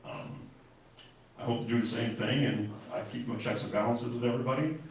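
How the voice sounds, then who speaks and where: A man's voice: medium pitch, fairly even intonation, fairly quick. An older man from Wisconsin introducing himself as a city council candidate at a forum, folksy and rambling with many fillers and restarts. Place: public forum room. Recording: echoey hall, distant mic, faint noise.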